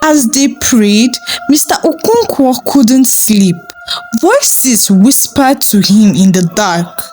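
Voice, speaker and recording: A woman narrating a dramatic folktale in a slow, expressive way. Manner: slow, expressive, rising pitch on key moments. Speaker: storyteller. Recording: with background music.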